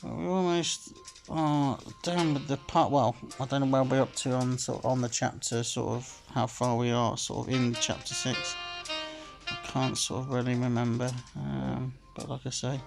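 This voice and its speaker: A man's voice: mid-range voice, little pitch movement, moderate pace, vocal fry, low-quality recording. A man casually commenting while playing a slot game, rambling and filling gaps with "sort of" and "like I say", sounding a bit phlegmy. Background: game music.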